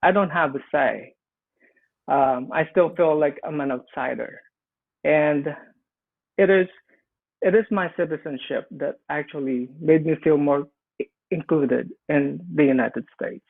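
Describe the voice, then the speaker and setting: A man's voice: fairly high pitch, gravelly. A man from the Philippines with a Filipino accent, earnestly telling his immigration story on a panel, often restarting his sentences. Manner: earnest, halting with frequent restarts. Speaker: immigrant small business owner. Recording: tinny phone-like line.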